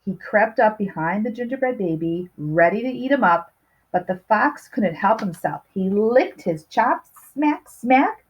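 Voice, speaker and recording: A woman's voice: medium pitch, animated, measured pace, breathy edge, lo-fi sound. A woman reading a picture book aloud to young children, expressively stretching key words and putting questions to her listeners. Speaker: storybook reader.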